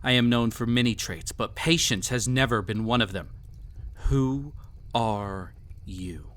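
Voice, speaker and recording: A man's voice: mid-range voice, fairly bright tone, natural voice. A man playing a cruel, arrogant emperor in a scripted audio roleplay, speaking in a formal, mocking, condescending way. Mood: impatient menace. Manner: haughty, formal, mocking, deliberate theatrical phrasing. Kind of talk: scripted audio roleplay, villain monologue addressed to listener.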